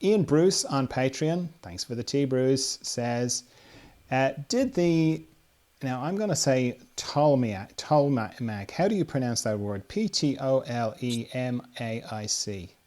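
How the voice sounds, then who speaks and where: A man with a mid-pitched voice hosts a relaxed podcast chat. He talks in a halting, meandering way, working out his thoughts as he speaks. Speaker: podcast host. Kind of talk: casual conversation, reading listener questions.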